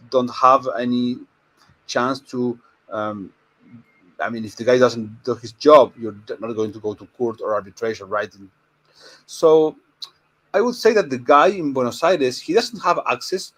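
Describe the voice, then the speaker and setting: A man from Argentina with an Argentine Spanish accent, speaking as a panelist in an informal discussion and explaining things haltingly. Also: mid-range voice, fairly bright tone, breathy edge, poor audio.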